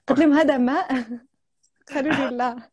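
A woman's voice: high-pitched, steady tone, normal speed, a little mellow. A young woman with a Moroccan Arabic accent hosting a live video chat at home, chatting casually and laughing often as she tells stories. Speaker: host of a live stream. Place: indoor room at home. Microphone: video call, laptop or phone camera.

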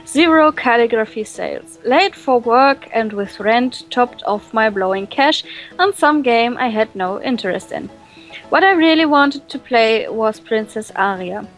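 A woman's voice: fairly high pitch, animated, measured pace, soft background music, low-quality recording. A woman reading game text in English and then paraphrasing it in German, with many hesitations and fillers. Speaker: reader translating a visual novel. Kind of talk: casual reading and translating with friends.

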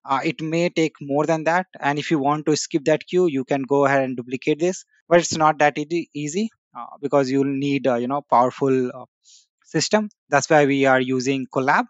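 A man talks through a coding demo on screen, casual and full of "uh" and "you know." His voice sits a little high and dry. It comes through a dull, lo-fi desktop mic. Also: steady tone, moderate pace, no echo. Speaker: tech tutorial host. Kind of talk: screen-share software walkthrough.

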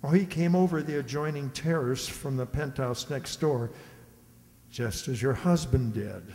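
An older man acting a matter-of-fact police inspector in a radio mystery, measured and reassuring. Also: mid-range voice, darkish tone, natural voice. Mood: explanatory. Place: stage in a community hall. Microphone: stand microphone on stage. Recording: echoey hall, live stage performance.

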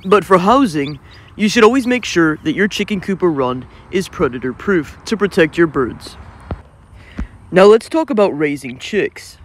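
A man narrating an instructional voice-over as the channel host, in a clear, steady teaching manner. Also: sits high, normal speed, a little hiss or hum.

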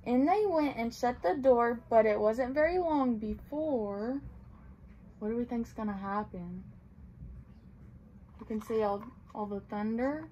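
A young woman with a clearly high voice reads a picture book for young children. She tells it in a sing-song way, talking simply to little listeners. There is faint room noise behind her. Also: unhurried, pauses often, dark tone, low-quality recording. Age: young adult. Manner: sing-song storytelling with simple questions and explanations for small children. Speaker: playschool teacher reading aloud. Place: small tiled indoor room.

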